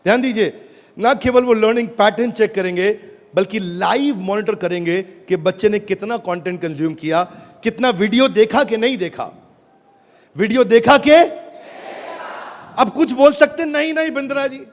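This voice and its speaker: Showy man pitching loudly on stage. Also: normal speed. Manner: showy, teasing, calls out to the crowd. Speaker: business presenter on stage. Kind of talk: energetic stage product pitch to a live audience. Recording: large hall with crowd and faint noise.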